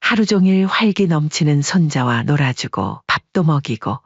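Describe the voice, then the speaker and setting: A narrator reading a family story in a calm, even storytelling manner, recorded dry and slightly dull. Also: medium pitch, normal speed, dry recording.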